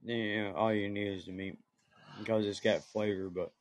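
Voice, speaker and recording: Laid-back low-voiced Southern man. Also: fairly even intonation, normal speed, dry recording. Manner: easygoing, joking, folksy. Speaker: podcast host. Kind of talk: casual chat.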